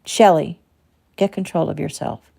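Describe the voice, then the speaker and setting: A woman voicing a gentle, worried husband and father who pleads softly. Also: low voice, unhurried, vocal fry, full character voice. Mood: tender. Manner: tender, pleading.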